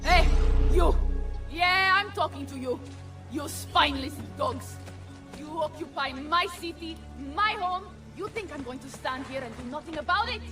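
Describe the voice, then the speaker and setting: A woman's voice: high-pitched, moderate pace, close to an everyday voice. An actress playing a proud, foul-mouthed Renaissance noblewoman with an Italian accent, bold and theatrical in a game cutscene. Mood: furious, defiant. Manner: bold, brash, theatrical, peppers speech with Italian. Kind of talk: dramatic game cutscene acting. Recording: loud music behind voice. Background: game soundtrack music.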